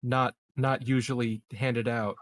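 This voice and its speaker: A man of medium pitch improvises casually at a roleplaying game. He speaks haltingly, doubling back on words, and is recorded close and dry. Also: flat delivery, no echo. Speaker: tabletop roleplaying player. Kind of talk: casual improvised tabletop banter.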